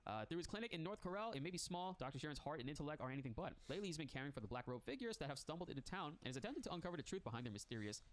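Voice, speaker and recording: Excitable congested young-sounding man. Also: on the high side, racing, few pauses. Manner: excitable, laughs often, slangy. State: congested from a cold. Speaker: reaction streamer host. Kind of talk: casual reaction chat, reading text aloud.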